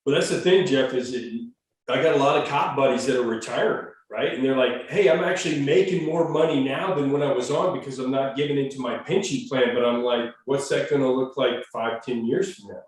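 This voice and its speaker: A man's voice: medium pitch, a little fast. A man hosting a podcast, talking casually and bluntly, swearing freely. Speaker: podcast host. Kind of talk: casual interview talk. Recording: very echoey, voice far from mic.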